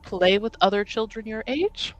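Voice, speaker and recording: A woman's voice: mid-range voice, expressive, normal speed, muffled recording, pitched above the performer's own voice, lightly acted. A woman playing a wry, fond adult relative who teases a child in a tabletop game.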